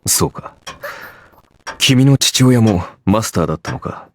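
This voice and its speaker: A man playing a cool, sardonic servant spirit warrior in an anime game, speaking in a measured, taunting, formal way. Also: lowish voice, animated, a little mellow, slight background noise, close to an everyday voice. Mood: probing. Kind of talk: Japanese anime game voice acting.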